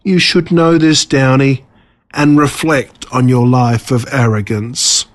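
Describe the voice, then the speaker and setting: A man's voice: mid-range voice, steady tone, slow pace, close to an everyday voice. A man voicing a smug, menacing villain in a storybook reading, pompous and theatrical in his delivery. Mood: menacing, bitter. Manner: pompous, gloating, dramatic.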